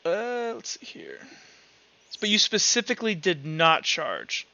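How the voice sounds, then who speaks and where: A man's voice: high voice, wildly swooping pitch, unhurried, gravelly, pushed and bright, a little hiss or hum. A man working as game master, narrating a tabletop roleplaying game casually, thinking aloud and swearing freely. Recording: dull, lo-fi sound with background music.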